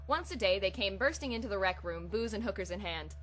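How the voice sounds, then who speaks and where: A woman's voice: mid-range voice, expressive, brisk. A woman hosting a reading of comic fan fiction, moving between casual talk and dramatic storytelling. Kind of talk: casual intro, then dramatic comic storytelling read aloud. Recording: audible background hum and hiss.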